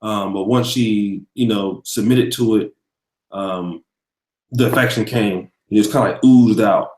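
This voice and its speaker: A man hosting a casual video interview, asking long questions with many pauses and fillers. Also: fairly low pitch, fairly even intonation, a little mellow, reverberant, poor audio. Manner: thanks viewers mid-question, hesitant fillers. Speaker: host.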